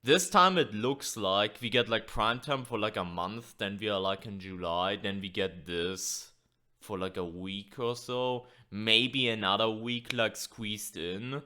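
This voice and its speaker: Sick young male gaming host, lowish and bright, loose casual delivery with fillers and swearing. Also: a little slow. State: sick, losing his voice. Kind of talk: casual video commentary.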